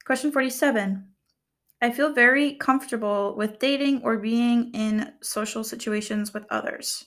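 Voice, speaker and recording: A young woman talks through a quiz in a soft, calm voice, reading each question and then rambling about her answer. Her speech is loose and casual, full of fillers, and she jokes at her own expense. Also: a little high, normal speed. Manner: chatty, rambling, filler-heavy, self-deprecating humor. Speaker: vlogger.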